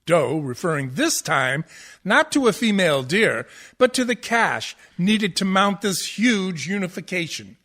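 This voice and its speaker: Snooty musical theater professor, high voice, super condescending, lecturing everything, comedy radio play. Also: male voice, big pitch swings, a little slow, pushed and bright, full character voice. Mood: smug, explaining. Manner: pompous, pedantic, condescending. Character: pompous, condescending male musical-theatre professor, absurdly over-analytical. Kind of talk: comic radio play acting as interview guest.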